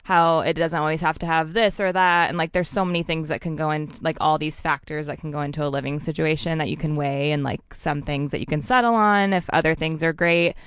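Low, crystal-clear rambling woman. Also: steady tone, fairly quick, hardly any pauses, a bit bright, dry recording, studio quality. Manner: rambling, many likes and ums. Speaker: astrologer guest. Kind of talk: casual interview chat.

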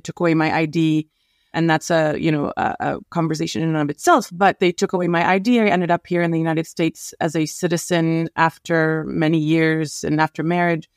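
A middle-aged woman from Palestine, a guest activist answering interview questions in an earnest, measured way with frequent fillers and restarts. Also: on the low side, no room sound, studio quality.

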